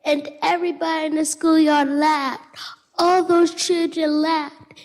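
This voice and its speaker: A woman playing a hurt young schoolgirl, plaintive and halting, in a dramatized reading of fiction. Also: high voice, little pitch movement. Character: hurt young Grenadian schoolgirl confiding in her elder. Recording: reverberant recital hall.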